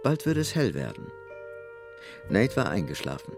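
An actor as the sober literary narrator of a radio drama, telling the story in a gravelly voice. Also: male voice, lowish voice, sing-song, moderate pace, over music. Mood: measured, atmospheric.

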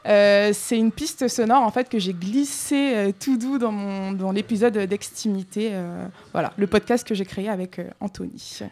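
A young woman in her twenties from Congo speaks as a guest on a live radio show. She explains herself thoughtfully, pausing often with hesitant fillers. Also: medium pitch, steady tone, darkish tone. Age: 20s. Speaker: guest, freelance journalist and podcaster. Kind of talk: radio interview.

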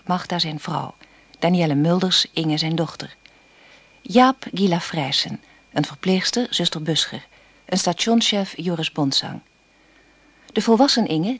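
A woman's voice: lowish voice, no echo. A woman reading scripted credits as an announcer.

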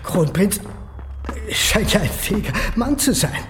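A man's voice: high-pitched, sing-song, measured pace, slight background noise. A man playing a deferential, eager museum curator in a radio drama, obliging and confiding. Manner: obliging, fawning, hushed confiding. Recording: long hall reverb under music.

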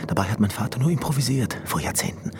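A man's voice: medium pitch, expressive, fast, far from the mic, close to an everyday voice. An actor playing a neurotic, eccentric male concert pianist who muses confidingly in an introspective radio play monologue. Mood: musing, obsessive. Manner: introspective, musing narration.

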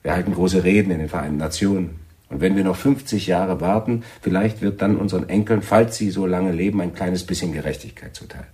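An actor in a German radio drama playing a brooding, fervent Palestinian bomb-maker who speaks in earnest, emphatic statements. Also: male voice, low-pitched, brisk, hardly any pauses, mellow, natural voice. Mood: bitter fervour.

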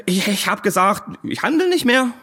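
An actor playing an evasive, jittery young small-time weed dealer in a radio drama, stammering and rambling in slang. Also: male voice, sits high, sing-song, normal speed, pushed and bright, breathy edge, exaggerated voice. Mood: defensive. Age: young adult. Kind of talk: naturalistic radio drama acting.

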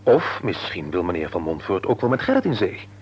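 A businesslike husband in a radio play, thoughtful and eager to help. His husky voice moves up and down in lively intonation, in a natural acting style. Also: low-pitched, a little fast, full character voice. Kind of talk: Dutch radio drama acting.

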